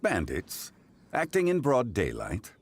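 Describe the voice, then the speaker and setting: A wise, courteous gentleman from a video game. His voice is very low yet lively in its movement. He phrases everything formally and eloquently, with composed patience. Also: slow, noisy background, heavily acted. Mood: composed, polite. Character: courteous, composed, scholarly man of measured wisdom.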